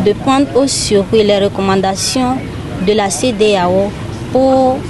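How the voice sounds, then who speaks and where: Woman, Togolese accent, slow and deliberate, earnest, medium pitch, busy crowd and street sounds behind. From Togo. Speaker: citizen giving an opinion. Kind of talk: spoken street statement. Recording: outdoor street with crowd and traffic.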